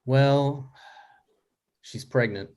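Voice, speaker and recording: A man's voice: mid-range voice, extremely slow, a little mellow. A man playing a defensive, scheming thirty-six-year-old son in a live comedy table read. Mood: baffled. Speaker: writer and actor.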